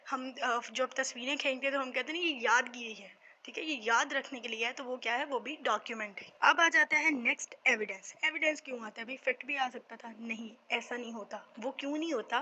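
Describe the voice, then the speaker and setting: A woman with a Pakistani accent teaches in an informal lecture style. Her voice is high and very bright, and she often poses questions and then answers them. The recording has some background noise. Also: highs cut off. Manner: conversational, asks and answers own questions. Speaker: law teacher.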